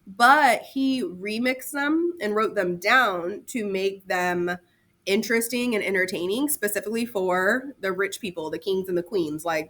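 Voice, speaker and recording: A woman's voice: mid-range voice, fairly bright tone. A woman teacher giving a casual lesson to students, talking informally with slang and chatty asides and repeating key terms.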